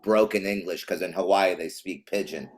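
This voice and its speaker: A man's voice: sits low, moderate pace, slight background noise. A man from Chile, a podcast guest, telling stories in an easygoing, rambling way. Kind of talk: casual interview chat.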